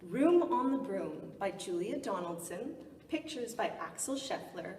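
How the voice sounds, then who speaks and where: A woman's voice: medium pitch, big pitch swings, unhurried, warm tone. A woman reading aloud to children as a library story time host. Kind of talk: rhyming picture book read-aloud. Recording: echoey hall, distant mic, faint noise.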